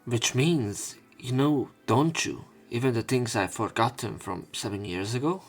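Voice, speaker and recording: A man's voice: medium pitch, measured pace, husky, faint music behind. A man reading visual novel text aloud as dramatic narration for a let's play.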